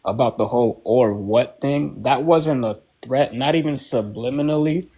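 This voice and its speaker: A man panelist speaking calmly and defensively in a heated debate. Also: mid-range voice, normal speed, slightly rough.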